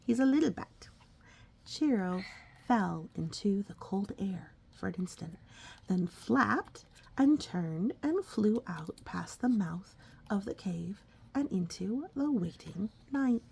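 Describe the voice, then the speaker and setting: Woman reading a storybook, slow and creaky. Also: mid-range voice. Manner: expressive, coaxing, child-directed, often dropping into breathy whispers. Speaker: parent reading a picture book aloud to a young child. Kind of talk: storybook read-aloud with conversational asides to a child.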